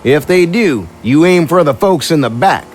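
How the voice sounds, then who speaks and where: An actor playing a steady, reassuring small-town sheriff with folksy turns of phrase in an audio drama. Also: male voice, a little high, moderate pace, a little hiss or hum, natural voice. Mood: cool, commanding.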